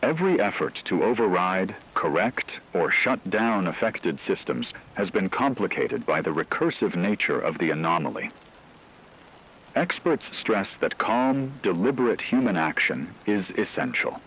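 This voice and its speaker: A man voicing a grave radio news anchor, reading a scripted emergency broadcast in a formal, measured way. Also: fairly low pitch, animated, a bit bright, low-quality recording. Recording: radio-style broadcast with faint hiss, rain-like noise.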